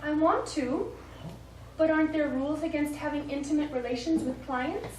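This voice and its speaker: An actress playing a shrewd, teasing, discontented wife in a live stage comedy, with a coy, sardonic delivery. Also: female voice, high-pitched, steady tone, moderate pace, distant mic, close to an everyday voice. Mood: firm refusal. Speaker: stage actor. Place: small theatre stage, distant microphone. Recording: echoey, noisy stage recording from afar.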